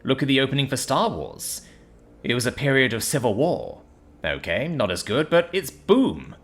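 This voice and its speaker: A man's voice: mid-range voice, sing-song, normal speed. A man voicing an introverted male former Air Force officer who talks earnestly and chattily. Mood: animated. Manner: earnest, self-doubting, chatty.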